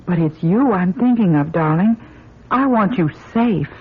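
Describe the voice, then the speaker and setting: An actress playing an anxious, devoted woman astronaut in a radio drama, earnest and pleading, with a husky voice. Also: on the low side, expressive, unhurried. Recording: muffled radio drama recording.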